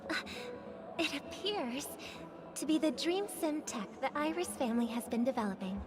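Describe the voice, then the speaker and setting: Game casting: high female voice, courteous celebrity singer, measured and soothing, music playing prominently behind. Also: animated. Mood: reassuring, gentle. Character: poised, courteous famous songstress soothing anxious guests. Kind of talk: video game voice acting.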